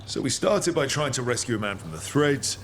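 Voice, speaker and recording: Guy voicing a easygoing hero type in a game, bit wry, expressive pitch, questioning. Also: brisk, slight background noise, natural voice. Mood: curious, wry. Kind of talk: video game acting.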